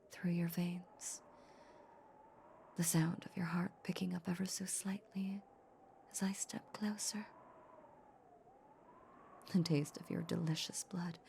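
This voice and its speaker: A woman playing a seductive, possessive female vampire in a breathy, whispered ASMR audio roleplay, lingering and teasing on her words. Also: lowish voice, dark tone, slight background noise. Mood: seductive, hungry. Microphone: close microphone.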